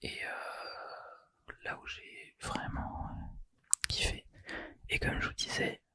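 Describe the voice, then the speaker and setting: A man's voice: slow pace, pushed and bright. A young man whispering a casual, slangy chat for an ASMR channel, close to the mic. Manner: casual and slangy, self-deprecating. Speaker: ASMR YouTuber.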